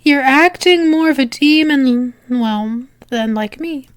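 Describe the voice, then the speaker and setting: A woman playing a teasing, lovestruck female demon in an intimate ASMR roleplay, speaking haltingly with fond endearments. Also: sits high, dry recording, clean studio sound. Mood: mock annoyed.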